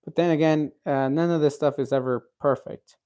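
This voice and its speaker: A man's voice: on the high side, steady tone, moderate pace, mellow, clean tone. A man in his thirties hosting a cooking livestream, chatting casually with viewers and reading out their comments, rambling with lots of fillers and restarts. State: recovering from a cold.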